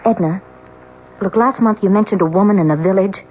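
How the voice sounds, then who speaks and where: An actress playing a desperate, hesitant married woman in a radio drama, earnest and halting, with a pleading manner. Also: mid-range voice, a little hiss or hum, natural voice. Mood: anxious, determined. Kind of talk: 1970s radio drama acting. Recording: dull lo-fi radio drama, music behind.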